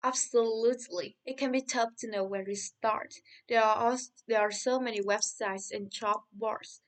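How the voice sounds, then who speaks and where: Practical young finance woman from thailand, woman voicing her, matter-of-fact, slightly high, a little off mic. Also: steady tone, moderate pace, recorded from a distance, lightly acted. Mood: calm. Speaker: guest. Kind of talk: scripted interview for English learners.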